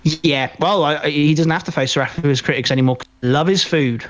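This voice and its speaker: Higher-pitched man chatting about films. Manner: rambling, halting, often repeats words mid-sentence. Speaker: podcast co-host. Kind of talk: casual film review chat.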